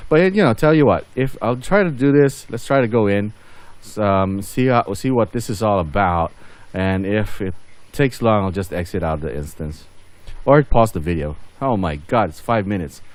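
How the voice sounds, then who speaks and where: A man with a fairly low voice gives casual commentary over a video game. He hosts in an unscripted way, rambling a little and thinking aloud as he plays. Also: animated, moderate pace, no echo. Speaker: gaming video host.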